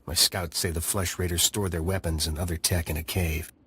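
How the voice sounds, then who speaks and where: A man's voice: low voice, level tone, brisk, sharp tone, no echo, full character voice. A man voicing a blunt alien scout chief who is eager to take revenge on raiders, in a studio video game cutscene. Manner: blunt, gruff.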